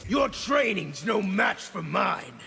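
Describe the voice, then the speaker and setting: A grim, warmongering fighter with an extremely gravelly, high voice. He is slow, harsh and contemptuous, a menacing video game soldier. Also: big pitch swings, very noisy, exaggerated voice. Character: ruthless, grim warmongering soldier with a hard temper. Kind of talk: video game character acting.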